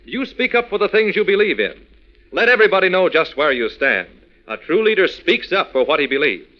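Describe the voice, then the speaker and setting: A man announcing on a 1940s children's radio show. His voice is bright and forceful, a little high, and he speaks to young listeners in an emphatic, folksy, scripted way. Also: sing-song, fairly quick, rough recording. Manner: emphatic, folksy direct address.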